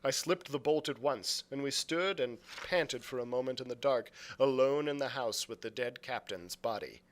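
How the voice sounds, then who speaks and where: A man narrating a storybook aloud as a librarian would, with deliberate, dramatic phrasing. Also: medium pitch. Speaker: youth services librarian reading aloud.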